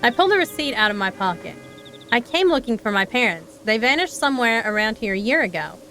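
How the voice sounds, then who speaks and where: Female VTuber, bright higher voice, casual live game commentary and read-aloud dialogue, music in background. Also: very animated, fast. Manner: casual, playful asides. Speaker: streamer, VTuber.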